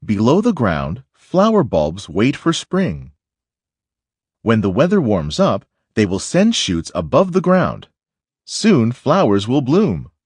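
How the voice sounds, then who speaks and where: Man, children's book read-aloud, highly varied intonation, unhurried pace, instructive phrasing. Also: wildly swooping pitch, darkish tone, slightly rough, dry recording.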